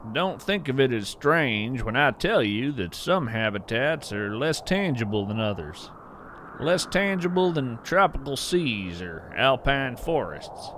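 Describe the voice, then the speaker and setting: A man playing an earnest wandering naturalist in scripted audio fiction, narrating in a measured, poetic way with deliberate pauses. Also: mid-range voice, fairly bright tone, slight background noise. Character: earnest, wonder-struck wandering naturalist of hidden creatures. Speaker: podcast host narrator in character.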